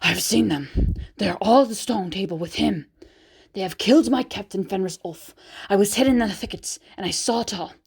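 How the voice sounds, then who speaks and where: Woman reader doing a wolf messenger: urgent, alarmed, plain and mellow. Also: mid-range voice, a little fast, husky. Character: frantic male wolf, a panicked messenger.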